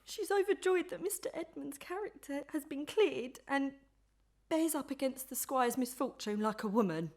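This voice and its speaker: A woman's voice: high-pitched, expressive, normal speed, a little mellow. A woman playing a chatty, plain-spoken, loyal lady's maid in a Victorian melodrama audio play. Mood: gossipy, opinionated.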